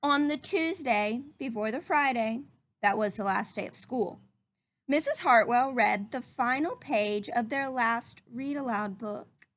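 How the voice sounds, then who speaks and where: A woman's voice: on the high side, unhurried, a bit bright. A woman reading a picture book aloud to young children like a teacher, speaking to them directly in simple phrases.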